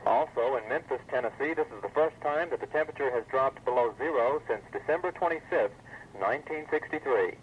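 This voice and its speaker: A man's voice: medium pitch, brisk. Male announcer for scripted weather reports, muffled lo-fi radio recording, faint noise underneath. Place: broadcast over weather radio.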